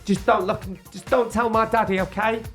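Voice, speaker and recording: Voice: medium pitch, fairly even intonation, normal speed, soft background music, close to an everyday voice. A performer voicing a cheeky, flirty talking dog companion with a chummy, coaxing manner in a comic play reading.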